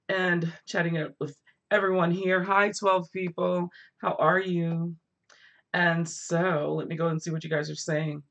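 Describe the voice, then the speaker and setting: Hoarse, gravelly woman chatting on a livestream. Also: low voice. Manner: chatty, laughs often, rambling asides. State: losing her voice, hoarse. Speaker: book vlogger hosting a livestream. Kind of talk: casual live chat with viewers.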